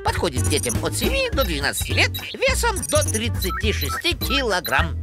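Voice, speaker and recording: A woman's voice: medium pitch, wildly swooping pitch, normal speed. A woman voicing a motherly, instructive female owl in an educational cartoon, lecturing expressively. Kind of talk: animated educational cartoon acting. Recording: music behind the voice.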